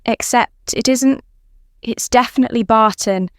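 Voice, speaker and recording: Grave, reluctant military man for audio fiction, voiced by a woman, clear delivery, dry close sound. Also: a little high, a little slow, no room sound, lightly acted. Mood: grave, troubled.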